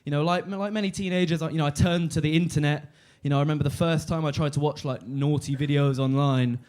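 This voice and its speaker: Fidgety young stand-up guy, deadpan. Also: fairly high pitch. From London. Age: 20s. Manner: deadpan, halting with fillers and restarts. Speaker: stand-up comedian. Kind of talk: stand-up set. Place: comedy club stage.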